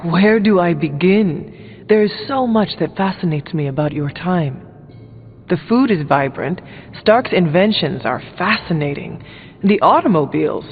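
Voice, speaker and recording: A man voicing a solemn, determined warrior hunter from the past in a video game, with a serious, formal and deliberate delivery. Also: high-pitched, animated, phone-quality sound, a little hiss or hum. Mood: curious. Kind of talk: video game character dialogue.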